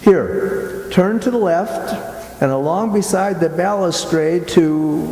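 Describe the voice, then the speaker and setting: Male actor, musing uneasy man, radio play, halting, slow, mellow, reverberant hall. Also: sits high. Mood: reassuring, careful. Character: uneasy, imaginative man sightseeing at dusk with his sweetheart. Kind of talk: amateur radio play acting. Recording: echoey hall with long reverb.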